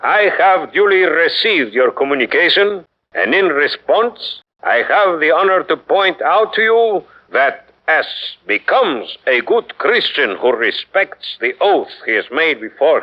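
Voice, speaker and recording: Stiff-necked Spanish commander, formal and lofty, lively pitch, muffled old radio sound. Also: male voice, measured pace. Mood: defiant. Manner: lofty, formal, dictating a letter. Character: proud defiant Spanish fort commander, man. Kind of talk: 1940s radio acting. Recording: dull lo-fi 1940s radio broadcast.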